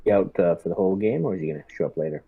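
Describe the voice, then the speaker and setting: A man playing in a tabletop roleplaying game, chatting casually with halting, searching phrasing. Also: sits low, gravelly, narrow, tinny audio. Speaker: player acting as squad lieutenant.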